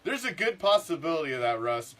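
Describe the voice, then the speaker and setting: Middle-aged man, congested, rough throat, profane heated rant, fairly high voice. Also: big pitch swings, a little fast, fairly bright tone. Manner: heated, swears a lot, repeats himself. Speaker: sports podcast host. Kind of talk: profane casual sports rant.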